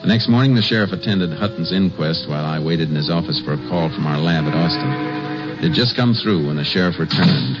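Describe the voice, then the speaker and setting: An actor playing a calm, shrewd Texas Ranger in 1950s radio drama, speaking in terse, deliberate lines. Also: male voice, a bass voice, over music. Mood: narrating. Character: Texas Ranger, a calm, shrewd, methodical lawman who narrates. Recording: dull, noisy old radio recording.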